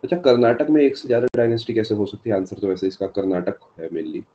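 Male online tutor, very low voice, a bit hoarse from a sore throat, dull streamed sound. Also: expressive, fast talker, mellow. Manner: answers live chat by name, mixes English terms. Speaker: teacher. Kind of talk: live online exam-prep lecture.

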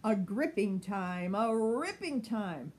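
Older lady in her 60s reading a kids book, super slow n dramatic, lots of up and down, bit of noise. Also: mid-range voice, lively intonation, a little mellow. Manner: measured, dramatic, lingering on words. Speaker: picture book reader. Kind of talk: expressive children's story reading. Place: sunny room at home by large window.

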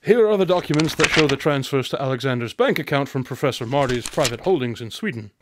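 An actor playing a witty, aloof detective in a radio drama, speaking formally, precisely and with dry teasing. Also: male voice, mid-range voice, animated, fast, heavy background noise, close to an everyday voice. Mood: brisk, matter-of-fact.

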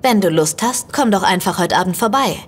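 A woman's voice: medium pitch, expressive, brisk, slightly rough. An actress playing an outspoken, determined woman turned amateur sleuth in a naturalistic audio drama, speaking directly and probingly. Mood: teasing, familiar.

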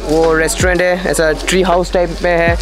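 A young man from India narrating a casual travel vlog, excitable and full of exclamations, mixing English words into his Hindi. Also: high-pitched, fairly quick, music in the background. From Silchar, India. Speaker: travel vlogger.

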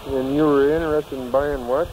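A man of middle pitch takes a call, speaking patiently and slowly. He sounds puzzled. Clear noise runs under his voice. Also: steady tone. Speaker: call recipient.

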